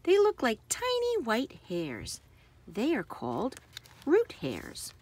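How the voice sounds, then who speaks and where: A woman reading a children's book aloud with careful, expressive phrasing for young listeners. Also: fairly high pitch, slow, breathy edge.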